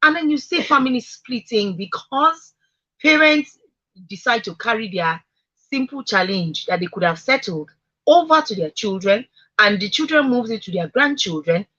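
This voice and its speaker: Woman, Nigerian accent, husky voice, repetitive emphatic phrasing, conversational radio talk, slightly dull recording. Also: on the high side, animated, moderate pace, bright, forceful tone. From Africa. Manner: emphatic, repeats phrases for effect. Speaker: radio talk show speaker.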